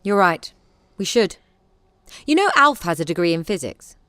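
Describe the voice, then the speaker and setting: A woman acting in an audio drama as a bossy, driven theatre director in 1940s England, with a brisk, commanding manner. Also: fairly low pitch, sing-song, fairly bright tone, no echo, soft background music. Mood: decisive.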